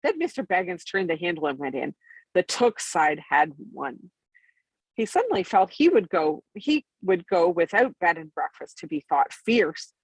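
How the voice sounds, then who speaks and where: A woman's voice: fairly low pitch, very animated, normal speed, slightly rough, no room sound, rough recording. A woman reading a storybook aloud as bedtime narration, expressively, with an occasional stumble over the words. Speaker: storybook reader.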